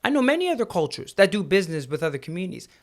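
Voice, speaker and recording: A man with a fairly high voice for a man co-hosts a podcast conversation. He explains his ideas earnestly and lays them out step by step. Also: sing-song, very fast. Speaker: podcast co-host.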